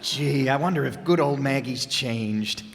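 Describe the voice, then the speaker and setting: A man's voice: medium pitch, unhurried, faint music behind, lightly acted. A man acting a breezy, oblivious husband in an old-time radio sitcom, with exclamatory comic delivery. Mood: eager, breezy. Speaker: amateur radio player. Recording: echoey hall stage performance.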